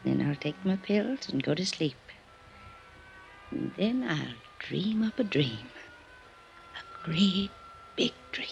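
A woman's voice: lowish voice, sing-song, slow, creaky, long pauses, exaggerated voice. An actress playing a frail, weary, wistful older woman in an old-time radio drama, lingering sentimentally over her words. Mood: sleepy, tender. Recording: dull, noisy old radio recording.

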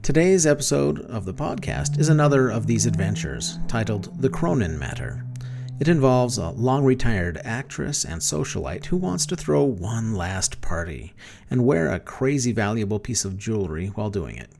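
Animated podcast host man with gravelly creak. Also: fairly low pitch, normal speed. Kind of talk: podcast introduction, scripted.

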